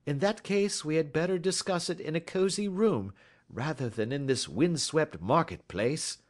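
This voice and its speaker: A man playing a shrewd, self-assured gentleman detective in an audiobook, speaking precisely with suave, gentle mockery. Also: on the high side, normal speed, pitched above the performer's own voice, lightly acted. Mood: suave, courteous.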